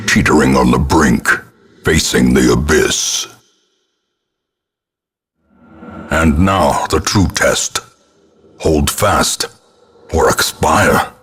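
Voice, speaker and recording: An actor playing a grim old male ancestor who narrates a gothic video game in a portentous, measured, theatrical manner, with a gravelly, husky voice. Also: low voice, heavily acted. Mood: bleak, foreboding. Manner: portentous, measured, ornate diction.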